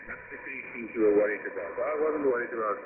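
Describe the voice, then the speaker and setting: A man's voice: medium pitch, fast. A man in his forties with a South African accent being interviewed casually by phone, speaking emphatically and often repeating himself. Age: 40s. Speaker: interviewee, car owner. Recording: tinny shortwave radio, phone line, static.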